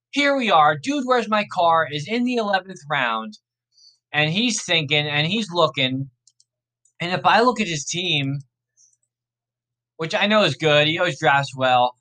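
Slightly raspy, laid-back man thinking aloud. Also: on the high side, bright, forceful tone. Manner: rambling, laid-back, self-correcting. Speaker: podcast host. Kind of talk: casual thinking aloud during a fantasy draft.

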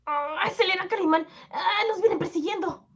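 A man's voice: extremely high pitch, normal speed, fairly bright tone, lo-fi sound, voice pushed up. A man voicing a frightened young sidekick who cries out in alarm. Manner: panicky exclamations.

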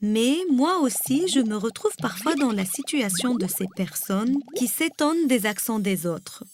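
A woman's voice: fairly high pitch, expressive, unhurried, over music. A woman from Lebanon, a native Arabic speaker with a Lebanese accent and a rolled R, reading a personal essay aloud. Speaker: guest reader.

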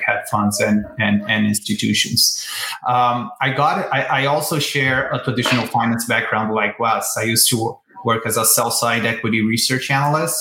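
A man with a Brazilian accent talks as a guest expert in an interview. His voice is bright and fairly level in pitch. The sound is echoey, as in a live room. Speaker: guest, research director at an asset manager. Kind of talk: conversational panel interview. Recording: roomy echo.

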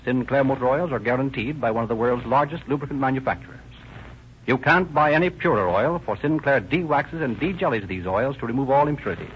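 A man's voice: medium pitch, expressive, fairly quick. 1930s radio announcer, man, dull noisy recording. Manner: formal, declarative. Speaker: announcer and narrator. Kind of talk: 1930s radio scripted narration and advertising.

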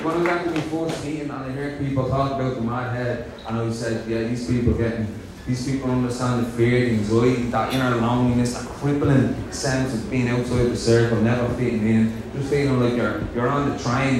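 Young Dublin-accented man, loose and self-deprecating; large reverberant hall, distant mic, faint background hiss. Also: medium pitch. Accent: Dublin Irish. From Dublin, Ireland. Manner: nervous, self-joking, loose with many fillers. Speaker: speaker sharing his story at a convention. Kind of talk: personal recovery talk. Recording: echoey hall, picked up from afar.

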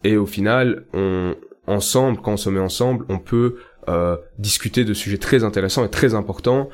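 A young man from Belgium with a fairly low voice hosts a political talk podcast. He argues with conviction and lifts his voice to stress each key point, in a relaxed conversational way. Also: normal speed. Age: young adult. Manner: earnest, emphatic, rises in pitch on key points. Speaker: podcast host.

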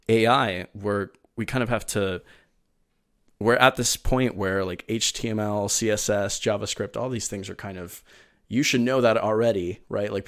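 A man in his thirties from Venezuela, with a slightly low voice. He tells his story eagerly in a loose, rambling way, full of "uh"s and self-corrections. It sounds like a relaxed interview conversation. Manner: eager, rambling with many fillers and restarts. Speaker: podcast guest.

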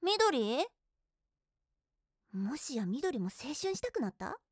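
女性声優が、のんびりしていて人をからかうのが好きな女子高生を演じている。. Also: female voice, sits high, sing-song, measured pace, no echo. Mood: easygoing. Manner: playful, teasing. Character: teasing, easygoing high school girl. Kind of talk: voice drama acting.

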